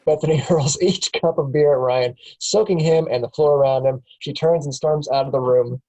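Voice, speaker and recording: A woman's voice: sits low, normal speed, dark tone, rough recording. A woman reading stage directions aloud in a casual table read, often breaking into laughs.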